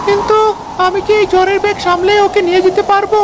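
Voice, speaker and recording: A woman's voice: very high-pitched, flat delivery, fast talker, noisy background. A woman voicing an earnest, childlike young flying horse, loyal and caring, in a fairy-tale cartoon. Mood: worried.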